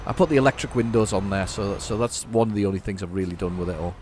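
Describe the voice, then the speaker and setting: A man's voice: lowish voice, quick pace, darkish tone, slight background noise. A man giving casual, rambling gameplay commentary, often correcting himself. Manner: rambling, self-correcting, chatty. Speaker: gaming channel host.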